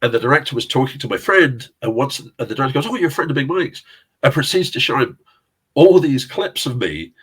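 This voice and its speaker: A man's voice: medium pitch, sing-song, brisk, a bit bright, breathy edge. A middle-aged man with a South London accent, telling rambling film-industry anecdotes in a casual chat, laughing often and swearing now and then. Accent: South London English. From England. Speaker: interview guest, casting director and actor.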